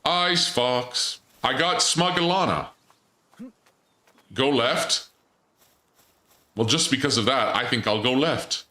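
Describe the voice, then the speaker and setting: A man's voice: medium pitch, slow, long pauses, sharp tone, roomy sound. A man streaming a game, chatting casually and joking while he reads chat aloud. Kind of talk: casual gameplay commentary.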